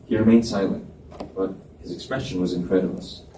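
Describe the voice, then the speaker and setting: A man reads story narration aloud in a lowish, mellow voice. He is a little way from the mic in an echoey room, with noise in the background. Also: steady tone, brisk, far from the mic. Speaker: reader, narrator. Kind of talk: scripted story narration read live. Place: live reading in an echoey indoor room.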